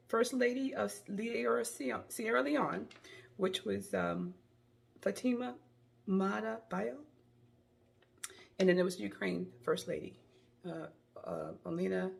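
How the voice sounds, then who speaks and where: A woman with a cold and a hoarse voice, giving chatty, rambling opinion commentary into her phone. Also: mid-range voice, darkish tone. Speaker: commentary vlogger.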